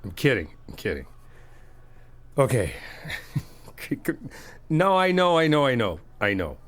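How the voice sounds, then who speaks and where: A man's voice: mid-range voice, huge pitch swings, measured pace. A man doing a friendly ASMR roleplay, chatty and teasing, reassuring the listener as he helps out. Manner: reassuring, helpful.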